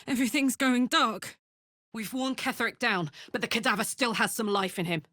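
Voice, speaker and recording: A woman's voice: on the high side, moderate pace, bright, forceful tone, dry recording. A woman voicing a brash, fiery horned warrior woman in a video game, talking bluntly and informally like a soldier, recorded dry. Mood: somber. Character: brash, fiery female devil-horned warrior with an infernal engine heart. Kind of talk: video game character voice lines.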